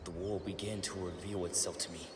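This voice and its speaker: A man playing a blind older turtle warrior who narrates in a measured, reflective, earnest way over music. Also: low-pitched, fairly even intonation, roomy sound, big-room sound, heavy background noise, natural voice. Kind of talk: scripted comic dub narration. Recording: loud music behind voice.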